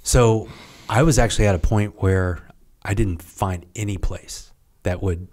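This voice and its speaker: Man, middle-aged, very deep voice, podcast guest, halting, trailing off. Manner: rambling, halting, many restarts and trailing off quietly. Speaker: podcast guest, retired Navy serviceman. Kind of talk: casual interview storytelling.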